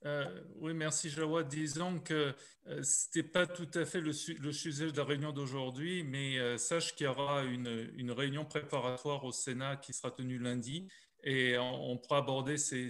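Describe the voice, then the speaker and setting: A man with a Canadian French accent calmly answering a question at an online meeting over a video call, repeating words as he goes. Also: medium pitch. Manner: calm, hesitant, repeats words. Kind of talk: measured reply at a public meeting.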